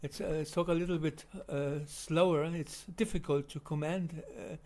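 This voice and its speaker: A man with a German accent giving unscripted voice-over commentary on video footage, halting with many fillers and restarts. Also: on the high side, fairly even intonation, darkish tone.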